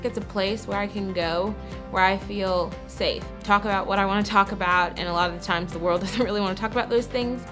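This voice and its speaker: A woman's voice: medium pitch, fairly even intonation, fairly quick. A nineteen-year-old woman giving a sincere, casual testimonial about her church family. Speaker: church young adults group member. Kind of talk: testimonial interview. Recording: music bed underneath.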